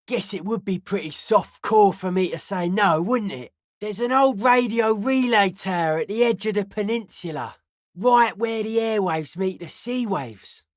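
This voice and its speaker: A man's voice: high voice, a bit bright, dry recording, clean studio sound. A man voicing a wary, blunt young raver and tech tinkerer in a video game, slangy, clipped and wry. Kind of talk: video game character dialogue.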